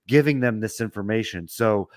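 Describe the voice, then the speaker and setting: A man co-hosting a casual news commentary podcast, hoarse from a sore throat, blunt and prone to restarting his sentences. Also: fairly low pitch, normal speed, no room sound. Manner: blunt, restarts and repeats words. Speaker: podcast co-host.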